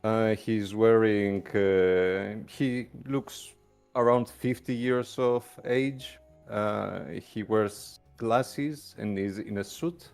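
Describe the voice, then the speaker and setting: A man playing a tabletop roleplaying game, casually improvising descriptions in a hesitant, halting way. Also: on the low side, vocal fry, a little mellow, clean tone. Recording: background music under voice.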